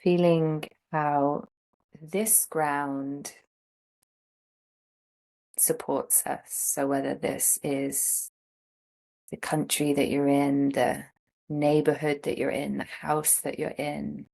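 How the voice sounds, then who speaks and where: Slow, low-voiced woman guiding meditation. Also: level tone, creaky. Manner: gentle, unhurried phrasing with long pauses. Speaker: meditation and yoga teacher. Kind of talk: guided meditation, slow instruction.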